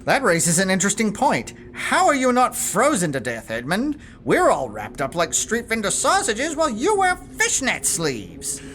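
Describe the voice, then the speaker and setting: A woman's voice: mid-range voice, wildly swooping pitch, moderate pace, few pauses, a bit bright, slight background noise. A woman playing an exasperated, sarcastic staff manager in an audio drama, with a clipped, indignant manner. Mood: impatient.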